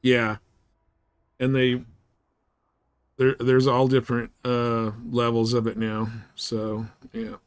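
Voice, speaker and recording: A man's voice: mid-range voice, monotone, slow, pauses often. A man chatting informally and slangily as he gives rambling live commentary on a video game he is streaming. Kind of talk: casual live gameplay commentary. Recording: clear background noise.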